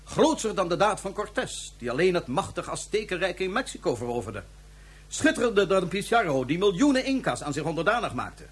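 An actor playing a smug, pompous dictator in a radio drama, mockingly polite and bent on conquest. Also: male voice, sits high, very animated, fairly quick, a bit bright, husky, full character voice. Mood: grandiose gloating. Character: smug megalomaniac dictator plotting to seize the solar system.

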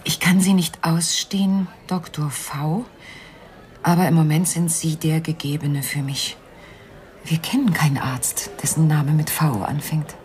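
An actress playing an elegant, worried wife in a radio crime drama, composed and quietly pleading in a measured, refined manner. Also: female voice, low voice, steady tone. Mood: quiet, determined.

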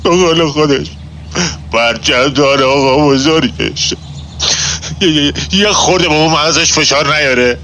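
Blustering old-Tehran tough guy, piercingly bright. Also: a little high, slight background noise. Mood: exasperated, sarcastic. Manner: grumbling colloquial street talk, stammers when flustered. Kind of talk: nostalgic 1960s-set radio drama acting.

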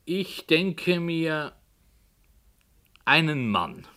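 An actor playing a brooding, hesitant author who tells a dark story in a slow, hushed voice that sometimes drops to a whisper, in 1960s radio play style. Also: male voice, a little high, sing-song, exaggerated voice. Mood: musing.

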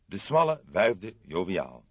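Low-voiced male audiobook narrator. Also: huge pitch swings, slow, slightly rough, dry recording. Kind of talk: expressive scripted literary narration. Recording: dull lo-fi dry studio sound.

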